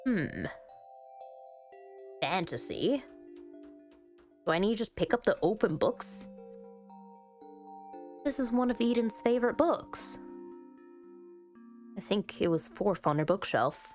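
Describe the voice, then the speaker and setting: A woman chatting expressively and thinking out loud as she plays through a game and reads its text. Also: medium pitch, lively intonation, slow pace, pauses often. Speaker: game streamer. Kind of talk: casual let's-play commentary, reading game text aloud. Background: background music.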